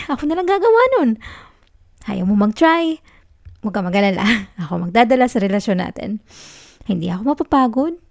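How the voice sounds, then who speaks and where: Soft, clingy Filipino girlfriend, acted by a woman, dark-toned. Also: a little high, sing-song, a little fast, gravelly, pauses often, no echo, clean studio sound. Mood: controlling, tender. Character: clingy, possessive young student girlfriend in denial. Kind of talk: ASMR girlfriend roleplay, intimate acted monologue.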